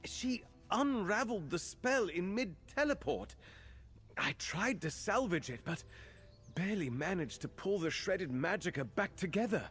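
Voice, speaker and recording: A man's voice: high voice, expressive, a little slow, a bit bright, husky, soft background music, heavily acted. An actor playing an anxious, fretful male mage's assistant in a video game, speaking earnestly. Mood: worried explaining. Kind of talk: scripted game character dialogue.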